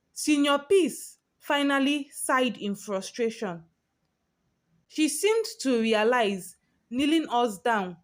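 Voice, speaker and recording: A woman narrates a dramatic story in the first person as a storyteller. Her voice sits fairly high and she takes her time, with a very slow, deliberate delivery.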